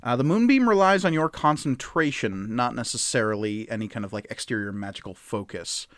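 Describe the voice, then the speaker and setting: A man's voice: medium pitch, lively intonation, fairly quick, a bit bright, no echo. A man acting as game master, conversationally narrating vivid scenes and refereeing a tabletop game.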